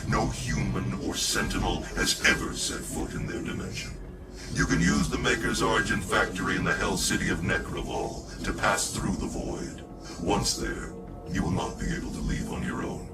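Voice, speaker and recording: A man voicing a solemn, all-knowing armored ally in a video game, speaking in a grave, formal, measured way. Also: fairly low pitch, steady tone, lightly acted. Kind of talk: dramatic video game dialogue and exposition. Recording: distant voice under loud game music.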